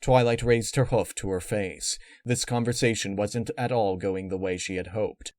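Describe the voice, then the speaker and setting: A man's voice: on the low side, steady tone, moderate pace, darkish tone, no echo. Dry-sounding man narrating a story. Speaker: narrator of fan fiction.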